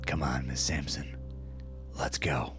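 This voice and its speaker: A man playing a slick, weary young gunman who makes taunting, profane threats in a gravelly voice, in an audio drama. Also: rumbling low, little pitch movement, takes it slowly, over loud music. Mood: cold, mocking.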